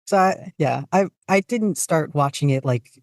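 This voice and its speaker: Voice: medium pitch, expressive, moderate pace, no room sound, studio quality. A podcast guest in their twenties chatting casually and joking dryly in an interview. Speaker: podcast guest, fan fiction writer and podfic reader.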